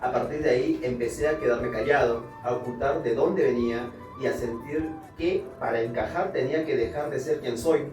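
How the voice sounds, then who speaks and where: A young man reading a case study aloud to a student group in a steady way. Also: medium pitch, normal speed, hardly any pauses. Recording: distant echoey room with music.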